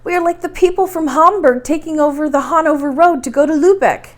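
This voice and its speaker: Storybook role: earnest young nephew on an expedition, high, emphatic, protesting woman reader. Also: brisk, natural voice. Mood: excited. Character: earnest, weary young male explorer, the professor's nephew. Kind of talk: storybook character voice.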